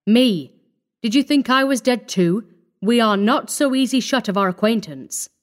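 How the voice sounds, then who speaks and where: A woman narrator plays a shabby old drunkard from a storybook. He is bitter and defiant. His words come out loud and blunt, like accusations thrown in someone's face. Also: fairly high pitch, animated, moderate pace, voice pushed up, natural voice. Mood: grim, mocking.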